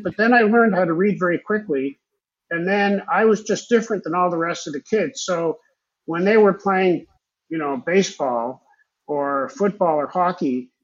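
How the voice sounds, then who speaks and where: A man's voice: high-pitched, slightly rough, lo-fi sound. An older man, a native Hebrew speaker raised in Israel, telling his story as an interview guest in a rambling, reflective way. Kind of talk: conversational storytelling.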